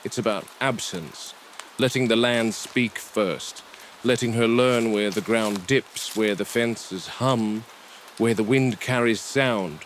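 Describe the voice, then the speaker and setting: A man playing a watchful, weary dinosaur park game warden, narrating a found-footage log in a measured, deliberate way. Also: lowish voice. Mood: patient, watchful. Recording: noisy field recording with vehicle ambience.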